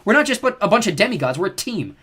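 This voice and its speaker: A man reads in a higher, husky voice for a brave teenage demigod girl, coaxing and earnest, with lively rising and falling pitch. She talks her enemies around instead of fighting them. The voice belongs to a storybook heroine. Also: fast, exaggerated voice. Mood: defiant.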